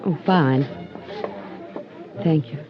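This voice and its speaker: A woman's voice: deep voice, drawn-out words. An actress playing an earnest, coaxing young woman who believes in psychic things, in 1950s radio drama style. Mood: demure. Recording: dull old radio recording.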